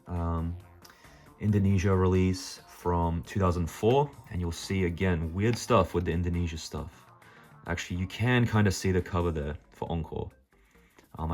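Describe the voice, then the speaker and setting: A man's voice: low-pitched, a little mellow. A man with an Australian accent talking casually and off the cuff to viewers as he presents a collection, rambling a little with hesitant asides. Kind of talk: casual unscripted show-and-tell to viewers.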